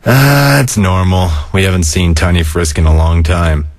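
A man voicing a laid-back, weary and caring skeleton older brother in an animated comic dub, laconic and casual. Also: normal speed, no room sound. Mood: relaxed explaining. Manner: laconic, casual, low-key.

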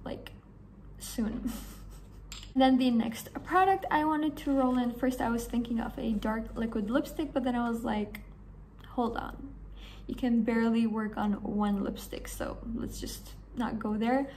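Hoarse young woman, gravelly chatty vlog. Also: on the high side, measured pace, a little mellow, slight background noise. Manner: chatty, enthusiastic, rambling with fillers. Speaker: beauty vlogger. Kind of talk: casual talk to camera. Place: small room indoors.

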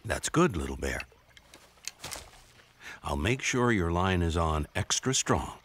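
Deep-voiced, patient father bear. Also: sing-song, takes it slowly, slight background noise, full character voice. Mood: calm, fatherly. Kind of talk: children's cartoon voice acting. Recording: loud music behind the voice.

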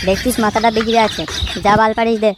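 A woman's voice: a little high, flat delivery, quick pace, noisy background, lightly acted. A woman voicing a hot-tempered young male village drunkard in a comedy cartoon, cursing and blustering. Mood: irritated, angry.

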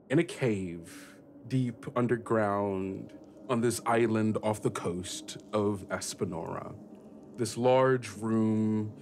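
A man's voice: on the low side, a little hiss or hum. A man hosting and narrating a tabletop podcast as game master, conversational and descriptive.

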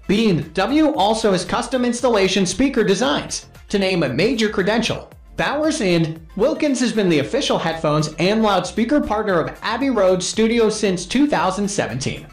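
A man's voice: high-pitched, reverberant. A man reading a scripted countdown-style product review in a formal, promotional manner. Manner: formal, promotional read-aloud delivery. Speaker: narrator of product review videos. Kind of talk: scripted top-ten countdown narration.

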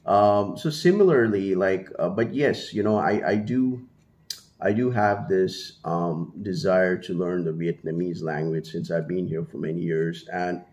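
Deep-voiced man rambling to camera. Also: a little slow, a little mellow. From India. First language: Hindi. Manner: rambling, many fillers and restarts; gestures with one hand, holding a small mic to his mouth. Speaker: vlogger. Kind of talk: casual talk to camera. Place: small room at home, indoors. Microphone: handheld mini mic held at the mouth.

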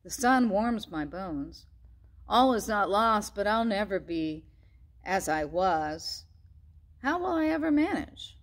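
A little storybook mouse speaks earnestly and slowly, as a woman reading aloud plays him. His voice sits a touch higher than the narration and carries humble hope. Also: very animated, exaggerated voice. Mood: wistful. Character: small brave mouse, humble and hopeful.

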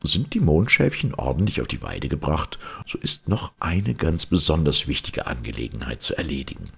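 A man with a gravelly, husky voice narrating a children's fairy tale as an audiobook reader, in an expressive, measured storytelling way. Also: very deep voice.

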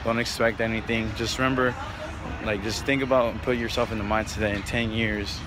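A man's voice: on the low side, flat delivery, fairly quick. A man in his twenties chatting casually to his viewers in slangy, repetitive motivational talk, recorded on a handheld phone outdoors. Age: 20s. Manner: informal, slangy, repetitive hustle pep talk. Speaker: vlogger. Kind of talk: casual motivational vlog chat. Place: outdoors at night in an open-air shopping mall. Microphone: handheld phone at arm's length. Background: wind and outdoor urban noise.

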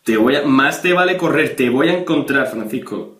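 A man's voice: mid-range voice, expressive, normal speed, a bit bright, close to an everyday voice. Young man acting stern Spanish police father, roomy sound. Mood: threatening, insistent. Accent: Spain. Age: young adult. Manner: insistent, accusatory, repeating the listener's name. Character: stern, preachy, threatening policeman father of a teenage girl. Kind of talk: prank phone call improvisation. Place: small bedroom, indoors. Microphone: webcam or camera at desk distance. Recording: roomy, echoey bedroom.

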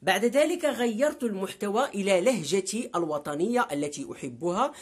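A man's voice: high voice, expressive, fairly quick, slightly rough. A man in his thirties talks to his webcam from a sofa at home. He is emphatic and gestures constantly, and he repeats words to drive each point home. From Morocco. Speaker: vlogger. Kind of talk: direct-to-camera vlog monologue. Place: living room with a sofa, indoors.

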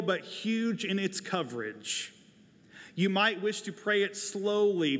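Higher-voiced man preaching in a reverberant hall. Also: a bit bright. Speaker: pastor. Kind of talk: sermon, prepared and measured delivery. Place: large church sanctuary, indoors, at a pulpit. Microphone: lapel mic. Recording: long hall reverb of a large sanctuary.

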